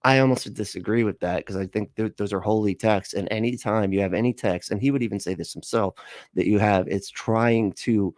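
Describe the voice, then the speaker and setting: A man's voice: on the low side, a little fast, a little mellow, no room sound, studio quality. A man giving casual, rambling and argumentative reaction commentary as a livestream host.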